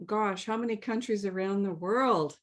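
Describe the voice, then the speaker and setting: A woman's voice: medium pitch, normal speed. Upbeat woman from Canada. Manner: upbeat, enthusiastic greeting. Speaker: satellite trainer. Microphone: video call.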